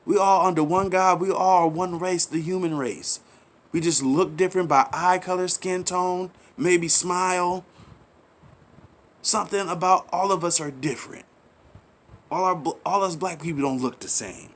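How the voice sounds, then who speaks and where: A man's voice: high voice, moderate pace. Preachy man delivering a rambling monologue. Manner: preachy, repeats himself, pleading with listeners; rhetorical questions, casual slang. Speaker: commentary channel host. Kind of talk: impassioned monologue.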